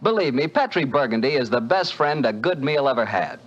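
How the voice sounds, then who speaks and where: A man's voice: medium pitch, very animated, fairly quick, highs cut off, background noise. A man announcing and reading an ad in upbeat, salesy 1940s radio style, from an old radio recording.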